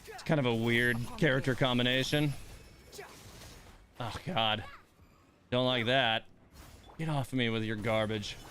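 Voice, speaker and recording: A man's voice: mid-range voice, fairly even intonation, unhurried, pauses often, sharp tone. A man livestreaming a game, thinking aloud in casual commentary and muttering complaints and coaching to himself.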